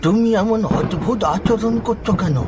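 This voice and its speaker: A man voicing a concerned, nosy old village uncle in a horror cartoon, loud and exclamatory. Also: sits high, unhurried, noisy background, voice pushed up. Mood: alarmed.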